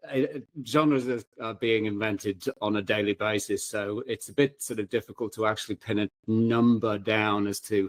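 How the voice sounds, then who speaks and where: A man's voice: on the low side, no echo. A man speaking as a guest in a casual panel discussion, with hesitant, circling phrasing.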